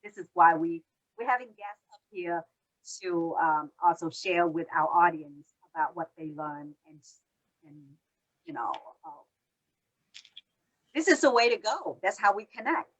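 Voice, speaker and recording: A woman's voice: on the low side, animated, unhurried, rough recording. A woman hosting an interview, speaking English as a with grammar and asking friendly questions. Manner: non-native grammar, friendly prompting questions. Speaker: podcast host. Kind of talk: casual interview chat.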